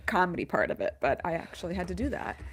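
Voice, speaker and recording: A woman's voice: sing-song, fast, breathy edge. A woman co-hosting a casual interview podcast, asking questions and sharing anecdotes in a conversational way. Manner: curious, conversational, self-described low voice. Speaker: podcast co-host.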